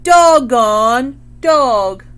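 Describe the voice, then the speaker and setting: Squawking pet parrot, played by a woman reader, loud, repetitive, high-pitched, for a children's storybook. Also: animated, drawn-out words, exaggerated voice. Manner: squawking, loud, echoing phrases back.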